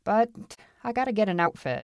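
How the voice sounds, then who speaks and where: A woman's voice: mid-range voice, sing-song, normal speed, darkish tone, no room sound, studio quality, voice pushed up. A woman voicing a big, boastful male gold prospector in a western story, bold and taunting, with folksy frontier talk.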